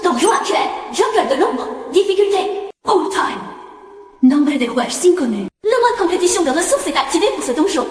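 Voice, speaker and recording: A woman voicing an artificial game system announcer, delivering notices in a French animated series. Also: very high voice, moderate pace, reverberant, in a large hall. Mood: announcing.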